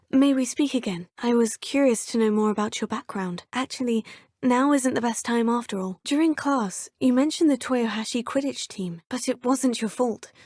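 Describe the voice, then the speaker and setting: Woman doing a curious schoolgirl witch, talks to herself all formal, kinda high and breathy. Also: darkish tone, dry recording, studio quality, natural voice. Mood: polite. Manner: thinks aloud, polite and formal. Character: young female student witch, curious and polite. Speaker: player character. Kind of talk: video game voice lines.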